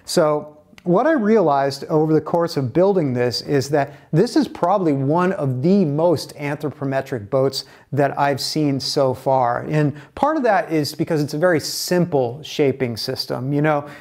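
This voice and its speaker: A man in his forties, a kayak builder explaining his building process to the camera in a relaxed, gesturing way, in a bright indoor workshop. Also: on the high side. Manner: relaxed, animated hand gestures while explaining.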